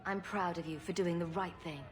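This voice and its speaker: An actress playing a sarcastic young rogue heroine in a video game, dry and teasing. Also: female voice, fairly low pitch, fairly even intonation, fairly quick, soft background music, natural voice. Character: wry, sarcastic young woman rogue hero. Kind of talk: video game character acting.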